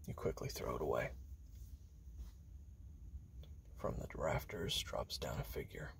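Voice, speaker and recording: A man performs as a treacherous assassin telling his tale straight to the listener in an audio roleplay. His voice is extremely low and gravelly, often sinking into a whisper. The delivery is deliberate, close and hushed. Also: heavily acted. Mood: grim, hushed. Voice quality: breathy whispering with gravelly fry. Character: treacherous male assassin recounting his betrayal to you. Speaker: roleplay narrator. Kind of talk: second-person audio roleplay narration, close and intimate.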